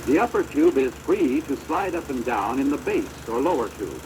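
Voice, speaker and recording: An American man giving formal, measured instructional narration for a training film, on a deteriorated old projector soundtrack. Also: medium pitch, animated, noisy background.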